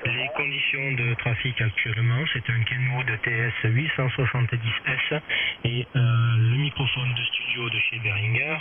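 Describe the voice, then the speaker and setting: A man's voice: mid-range voice, fairly even intonation, normal speed. A man giving a methodical technical station report as an amateur radio operator. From France. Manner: methodical, spells with phonetic alphabet. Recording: phone-like radio with very heavy static.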